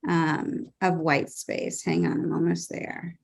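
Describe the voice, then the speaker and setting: Low-voiced woman leading a writing lesson. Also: little pitch movement, slow, creaky, a little hiss or hum. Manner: earnest, enthusiastic about examples. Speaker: writing workshop presenter. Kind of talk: presenting a lesson on a video call, reading excerpts aloud. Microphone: video call.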